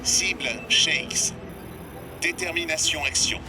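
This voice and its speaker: A man voicing an emotionless robotic cartoon goalkeeper who speaks in flat, clipped statements. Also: fairly high pitch, slow pace. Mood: robotic, analytical. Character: emotionless robotic goalkeeper reciting analysed moves. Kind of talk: cartoon dub acting. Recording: loud music behind the voice.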